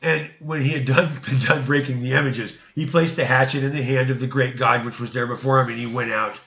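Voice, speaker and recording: A man's voice: medium pitch, fairly even intonation, fairly quick, a bit bright, reverberant. A man reading scripture aloud as theatrical narration, now and then stumbling over words, in a lo-fi recording. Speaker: reader. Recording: dull, lo-fi sound.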